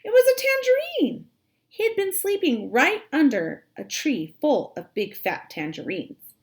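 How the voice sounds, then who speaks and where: A woman reads a children's story aloud like a teacher, expressively, with dramatic emphasis and drawn-out words. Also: high-pitched, big pitch swings.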